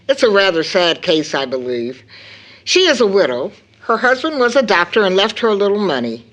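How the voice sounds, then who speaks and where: An actress playing a fond, tired, gently chiding mother in an audio drama, with a measured way of talking. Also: mid-range voice. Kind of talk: stage-play audio drama acting.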